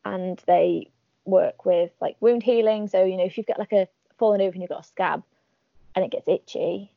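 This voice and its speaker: A woman's voice: medium pitch, steady tone, moderate pace, gravelly, no echo, narrow, tinny audio. A woman from Northern England with a Northern English accent, chatting casually and wryly as a podcast guest. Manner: chatty, wry, laughs at herself. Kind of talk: casual interview chat.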